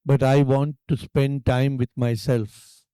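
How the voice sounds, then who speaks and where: Indian guy doing guided meditation, super soft dark smooth voice, calm w long pauses, really dry close sound. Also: mid-range voice, dry recording. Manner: calm, hushed, deliberate phrasing with long pauses. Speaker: meditation teacher leading a retreat session.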